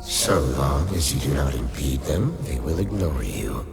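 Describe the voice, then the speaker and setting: A man voicing an ancient, solemn stone statue that guides the dead in a dark fantasy radio play, speaking slowly with a cavernous, gravelly voice. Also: rumbling low, very animated, full character voice. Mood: solemn, ominous. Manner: slow, solemn, measured. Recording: long cavernous reverb under loud music.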